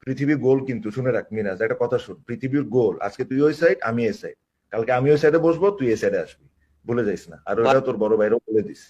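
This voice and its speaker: A man's voice: mid-range voice, lively intonation, very fast, a little mellow, lo-fi sound. A man speaking in a repetitive, warning manner.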